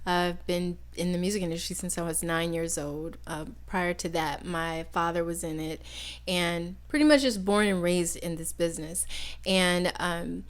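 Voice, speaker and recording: A soft-spoken woman co-hosting a new talk show, chatting casually and warmly agreeing with her partner. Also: lowish voice, level tone, moderate pace. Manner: soft-spoken, agreeable, says she is shy. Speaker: podcast co-host. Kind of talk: casual chat.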